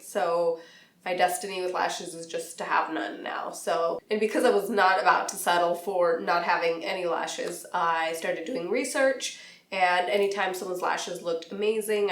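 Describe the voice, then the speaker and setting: A young woman talks to her camera in a chatty, easygoing way, laughing now and then between sentences. Her voice sits a bit low for a woman and sounds a little nasal and raspy as she gets over an illness. The recording has a noticeably roomy echo. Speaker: beauty vlogger. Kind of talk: casual talk to camera.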